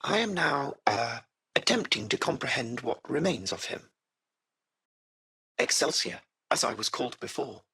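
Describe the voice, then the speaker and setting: Husky, anxious, formal AI chauffeur. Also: male voice, mid-range voice, very animated, a little slow, bright, forceful tone, full character voice. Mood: hesitant, formal. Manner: formal, courteous butler-like phrasing, hesitant. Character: polite artificial-intelligence car driver, anxious and formal. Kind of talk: acted video game dialogue.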